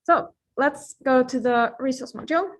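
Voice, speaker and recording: A woman's voice: high voice, slight background noise. Finnish woman lecturing informally, thinking aloud. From Finland. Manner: informal, playful asides, often pausing mid-thought.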